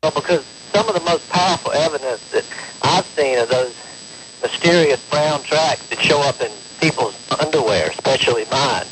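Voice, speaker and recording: Guy calling a radio show, halting n hesitant, slightly high voice, pretty noisy line. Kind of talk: hesitant phone-in conversation. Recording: noisy radio phone call with clicks.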